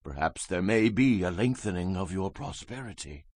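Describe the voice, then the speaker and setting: Man acting solemn, oratorical prophet, very animated pitch. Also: normal speed, no echo. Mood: solemn warning. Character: earnest, grave male Hebrew prophet advising a king. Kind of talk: dramatized scripture reading.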